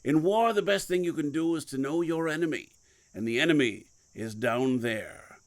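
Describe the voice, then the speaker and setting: A man playing a secretive, commanding, guilt-burdened mentor in an audio drama, speaking deliberately and cryptically. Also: fairly high pitch, natural voice. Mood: cryptic, assured. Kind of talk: audio fiction podcast acting.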